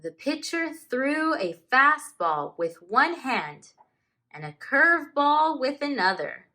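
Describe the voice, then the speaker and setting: A woman teacher reading a picture book aloud to children, expressively and with deliberate dramatic emphasis. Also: high-pitched, sing-song, bright, forceful tone.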